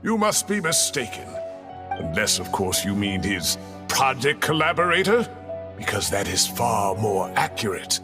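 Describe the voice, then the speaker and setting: A man's voice: on the low side, sing-song, measured pace. A man voicing a jovial, boastful older male scholar in a video game, with a hearty, self-satisfied manner. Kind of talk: video game cutscene acting. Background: background music.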